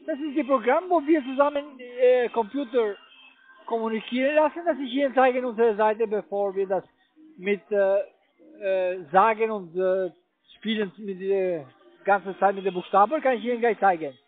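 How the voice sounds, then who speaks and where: A man with a strikingly high voice comes through a tinny phone line. He is a call center agent, speaking German. He gives computer instructions haltingly, staying polite while pressing on. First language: not German.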